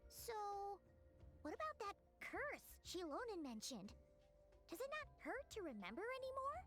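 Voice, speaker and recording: A very high, bright, squeaky childlike voice for a tiny game fairy companion. She swings up and down with excitable, earnest care for her friends. Music plays behind her. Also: moderate pace, full character voice. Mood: concerned. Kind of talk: video game voice acting.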